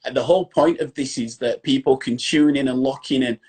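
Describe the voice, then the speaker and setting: Man, 30s, strong Yorkshire accent, husky, live-stream host, effusive, phone mic, small room. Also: medium pitch, a little fast, darkish tone. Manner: upbeat, effusive, colloquial. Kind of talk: casual live-stream interview. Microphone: phone front camera.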